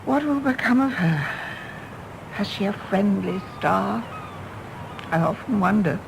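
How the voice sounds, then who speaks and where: Wistful wry english lady in a radio play, bit of creak, faint noise behind. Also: medium pitch, lively intonation. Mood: reflective. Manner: measured, genteel, wry. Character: wistful, wry middle-aged Englishwoman, once lovelorn mother. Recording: faint background noise and ambience.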